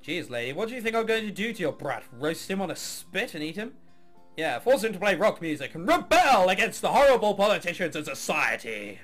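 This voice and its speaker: Male let's player, high-pitched and very animated, sarcastic, sweary, music underneath. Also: fairly quick. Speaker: let's play host. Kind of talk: let's play commentary, reading game text aloud.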